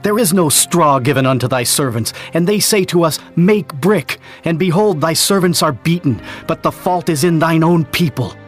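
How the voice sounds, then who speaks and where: An actor playing an aggrieved officer protesting bitterly in a scripture audio drama, plaintive and declamatory. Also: male voice, on the high side, expressive, normal speed, hardly any pauses, soft background music, lightly acted. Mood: aggrieved, pleading.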